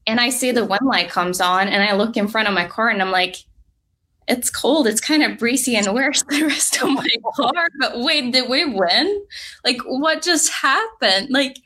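A young woman from Norway with a Norwegian accent, telling her racing story as an interview guest, lively and full of "like". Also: a little high. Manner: lively, fillers of "like", laughs easily. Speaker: drag racing driver guest.

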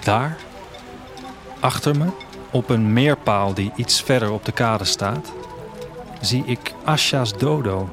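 Wistful traveller, music behind. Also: male voice, medium pitch, slow, pauses often. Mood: wistful, reflective. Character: wistful, restless traveller leaving his future city. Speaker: narrator. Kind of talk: literary first-person audio drama narration.

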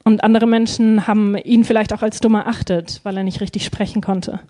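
A woman's voice: mid-range voice, fairly even intonation, a little fast, dark tone. A woman interpreting a sermon live, phrase by phrase, hesitating and feeling for words with frequent ums.

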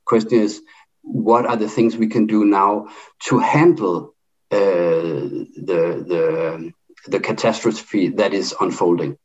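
A middle-aged man from Denmark with a Danish accent, speaking in an online debate, halting and full of fillers and restarts. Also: lowish voice, fairly even intonation, a little mellow, highs cut off.

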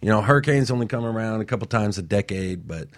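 A man's voice: on the low side, expressive, fast talker. A man co-hosting a talk show in a casual, motivational conversational style.

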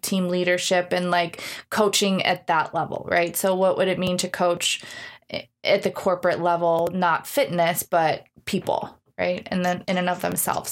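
A woman in her thirties talking casually in an interview, candid, reflective and self-deprecating. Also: fairly low pitch. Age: 30s. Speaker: gym owner being interviewed.

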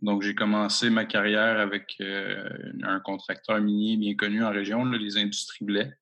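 A man with a Québécois French accent talks through his answers in a gravelly, fairly low voice. He speaks in a flat, even line and stops often to fill the gaps. His tone stays bright and forward while he explains his work from home. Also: normal speed, lo-fi sound. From Abitibi-Témiscamingue, Quebec. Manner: hesitant, many fillers. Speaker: mining company executive guest. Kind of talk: podcast interview answers.

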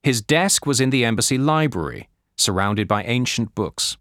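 A man reading scripted non-fiction as an audiobook narrator, in a measured, deliberate way. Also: medium pitch, expressive, a bit bright, no echo, studio quality. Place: studio.

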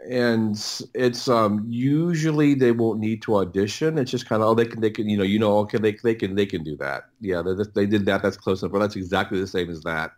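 A middle-aged man talking casually as an interview guest, in a halting, rambling way with many repeated words and restarts. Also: fairly low pitch, darkish tone. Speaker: interview guest, audiobook producer.

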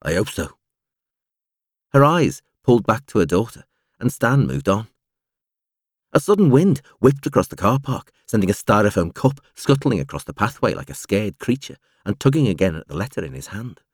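A man reading literary fiction aloud as an audiobook narrator, with a measured, expressive storytelling delivery. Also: on the low side, dry recording, studio quality.

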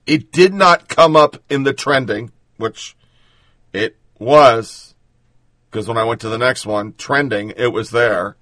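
A man's voice: medium pitch, slow, fairly bright tone, no room sound. A man hosting political commentary, reading news and then ranting bluntly with sarcasm and profanity.

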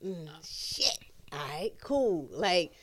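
A woman's voice: lowish voice, big pitch swings, very slow, a bit bright, dry recording. American woman, 30s, podcast co-host. Emphatic, slangy, profane, casual chat, close dry sound.

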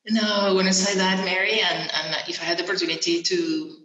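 A woman from Venezuela speaking as an interview guest, with a fairly low, flat delivery full of ums and restarts. Her voice carries an echoey room sound around it. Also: fairly quick, dull audio. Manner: hesitant, many ums and restarts. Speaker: guest, coach and mentor. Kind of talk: interview answers.

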